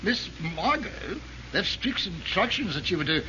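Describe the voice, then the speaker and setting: A timid servant in old radio drama. He is high and sharp-voiced, deferential and stammering, on a dull vintage recording. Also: sing-song, normal speed, full character voice. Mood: anxious. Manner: hesitant, stammering. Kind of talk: 1930s radio drama acting. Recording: dull, faintly noisy 1930s radio recording.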